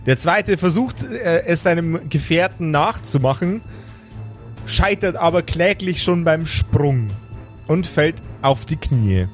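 Higher-pitched or game master, male. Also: expressive, slow, soft background music. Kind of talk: improvised tabletop game narration.

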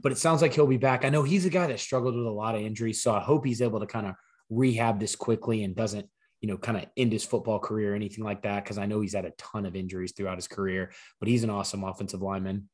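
A man co-hosting a sports podcast, his voice slightly hoarse from losing it, explaining things in an easygoing, conversational way. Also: lowish voice, fairly even intonation, quick pace. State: partly lost voice, slightly hoarse. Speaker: podcast co-host. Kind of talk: casual sports podcast chat.

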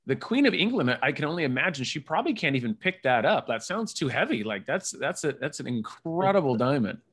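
A man's voice: fairly high pitch, very animated, a little fast, fairly bright tone, husky. A man hosting a podcast, chatting casually and upbeat with his guest, full of asides. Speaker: podcast host. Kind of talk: casual interview chat.

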